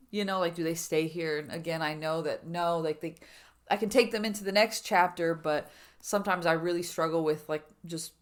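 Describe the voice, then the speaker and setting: A woman in her thirties talking through mixed feelings in a personal vlog, rambling with many fillers and restarts, and acting out her own inner voices in quoted asides. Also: lowish voice. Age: 30s. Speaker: vlogger.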